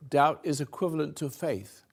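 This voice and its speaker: An older man slowly giving a rambling spiritual talk to a room, halting and restarting, swinging between soft and loud. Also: on the high side, expressive, creaky. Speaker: spiritual teacher hosting a talk.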